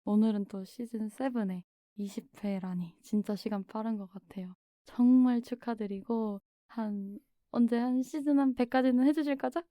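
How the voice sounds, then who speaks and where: Mellow-voiced female radio guest. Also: on the high side, normal speed, dry recording, clean studio sound. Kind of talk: casual radio chat.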